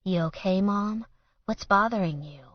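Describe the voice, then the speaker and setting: A woman voicing a guarded young woman who speaks softly, slowly and carefully. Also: mid-range voice, no echo, muffled recording, voice pushed up, natural voice.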